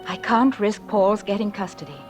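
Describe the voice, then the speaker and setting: A woman's voice: medium pitch, moderate pace, loud music behind the voice, close to an everyday voice. A woman playing an anxious, fearful young mother in 1940s radio drama, with an earnest, tremulous, dramatic delivery on an old recording. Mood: anxious confiding. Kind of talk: 1940s radio drama acting, confiding narration.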